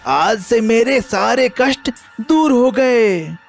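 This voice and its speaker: A man voicing a poor villager in a children's story, with an expressive, drawn-out way of talking. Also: extremely high pitch, gravelly, soft background music, pitched above the performer's own voice, exaggerated voice. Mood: amazed, happy. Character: poor, worried, grateful male villager.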